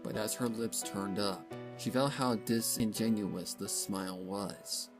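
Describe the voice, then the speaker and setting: A woman with a very low voice narrates a dramatic piece of fiction. Her reading is scripted storytelling, set over clearly audible music. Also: measured pace. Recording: music behind the voice.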